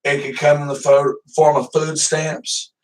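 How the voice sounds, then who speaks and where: A man's voice: on the high side, level tone, unhurried, distant mic, low-quality recording. A man hosting a live stream, chatting casually and rambling loosely, swearing now and then. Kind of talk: casual livestream chat.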